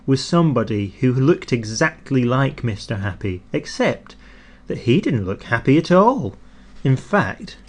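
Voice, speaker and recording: A man narrating a children's storybook expressively, with deliberate emphasis on key words. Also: mid-range voice. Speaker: audiobook narrator.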